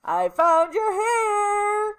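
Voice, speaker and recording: A shrill, bossy storybook mother, acted by a woman reader. She exclaims in alarm, very high and with sweeping pitch. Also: sing-song, very slow, exaggerated voice. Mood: excited yelling. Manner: exclaiming, yelling. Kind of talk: children's storybook read-aloud.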